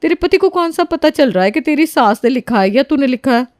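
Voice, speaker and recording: A woman's voice: sits high, expressive, fairly quick, no room sound. Woman playing a catty, conniving young friend, dry sound. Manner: persuasive, judgmental. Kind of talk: dramatised moral story.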